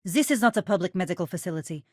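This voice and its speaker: A woman's voice: on the low side, expressive, rapid-fire, dry recording. An actress playing a brusque, weary female space station doctor in a video game, curt and sardonic. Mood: curt, irritated.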